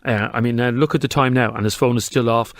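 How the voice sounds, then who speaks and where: A man's voice: medium pitch, steady tone, fast talker, no room sound. An Irish man hosting a talk radio show, pressing his points emphatically and firing questions at callers. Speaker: radio host. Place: radio studio. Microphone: studio mic.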